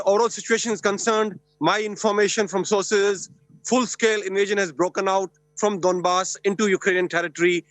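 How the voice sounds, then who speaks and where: A man reporting live by phone for a news channel, brisk and matter-of-fact. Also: sits high, a bit bright, no room sound, low-quality recording. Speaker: field reporter.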